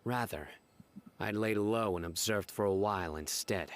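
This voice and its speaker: An actor playing a stern, calm male officer in a video game, speaking in a measured, quietly threatening way. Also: fairly low pitch, close to an everyday voice.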